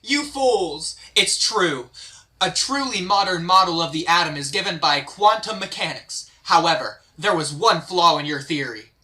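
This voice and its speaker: A man's voice: on the high side, lively intonation, normal speed, pushed and bright. A man playing an intense anime scientist, declamatory and melodramatic, in an amateur table read.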